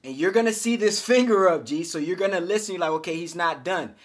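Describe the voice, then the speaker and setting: A man coaching other men on social skills in a casual, energetic monologue, full of slang and swearing, calling viewers G and fellas. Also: sits high, quick pace. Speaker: self-improvement coach.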